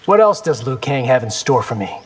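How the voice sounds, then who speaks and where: An actor voicing a confident young warrior in a fighting video game, cocky, wry and determined. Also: male voice, medium pitch, big pitch swings, fairly quick, breathy edge, big-room sound. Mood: defiant. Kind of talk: video game character acting.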